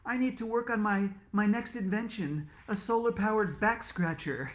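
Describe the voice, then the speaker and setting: A man's voice: sits high, moderate pace, pitched above the performer's own voice, natural voice. A man voicing a cheerful adult woman who is an inventor and teaches a class. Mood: evasive. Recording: roomy echo.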